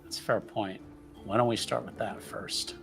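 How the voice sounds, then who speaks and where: A man's voice: lowish voice, fairly even intonation, moderate pace, vocal fry, soft background music. A man playing a tabletop roleplaying game, chatting casually and repeating himself as he improvises his plans.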